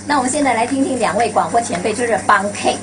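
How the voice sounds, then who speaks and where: A woman's voice: on the high side, fast, background noise. A woman hosting a radio interview, prompting her guests with questions. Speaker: programme host and interviewer.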